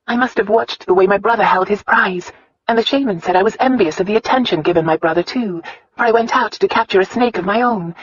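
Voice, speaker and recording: A woman voicing a spirited, candid young wife with an earnest, expressive way of talking in a romance audiobook. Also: mid-range voice, quick pace, breathy edge, no room sound, lo-fi sound, voice pushed up, lightly acted. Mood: fond, animated.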